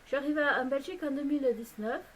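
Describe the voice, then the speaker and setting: Hesitant French woman vlogging, slightly high. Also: quick pace, a little hiss or hum. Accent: French from France. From France. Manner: hesitant, rambling, many fillers and restarts. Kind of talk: casual chat to camera. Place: home in a Belgian village.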